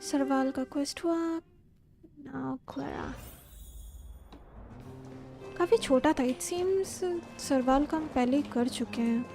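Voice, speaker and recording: A woman's voice: high voice, very fast, creaky, pauses often. A woman with an Indian accent giving casual live game commentary as a streamer, switching between two languages. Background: game music.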